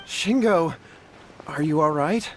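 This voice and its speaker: Flustered, cowardly young schemer. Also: male voice, on the high side, expressive, slow pace, slight background noise, rough recording. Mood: contrite. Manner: pleading, flustered, earnest explaining. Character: nervous, cowardly, scheming hard-up male commoner. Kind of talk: video game voice acting.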